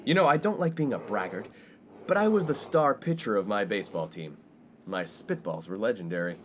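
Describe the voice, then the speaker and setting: A man's voice: on the low side, lively intonation, brisk, a bit bright. A glib young college playboy played by an actor in a fifties-set radio comedy. His delivery is breezy and self-important, swinging freely up and down, with a little noise behind it. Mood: boastful, oblivious. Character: brash, self-absorbed, smooth-talking college playboy best friend. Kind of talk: comedic 1950s-set radio play acting. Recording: faint background noise.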